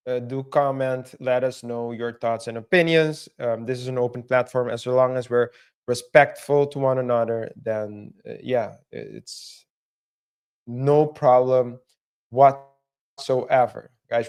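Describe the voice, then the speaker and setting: A man cohosting a commentary show, calmly giving audience reminders. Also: mid-range voice, smooth. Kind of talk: casual show sign-off chat.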